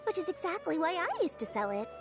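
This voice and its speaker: A woman's voice: very high voice, wildly swooping pitch, fast, exaggerated voice. A woman playing a sly, persuasive female merchant in a video game, explaining things like a worldly businesswoman. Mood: instructive. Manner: smooth, explanatory salesmanship. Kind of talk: scripted game dialogue. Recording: game music underneath.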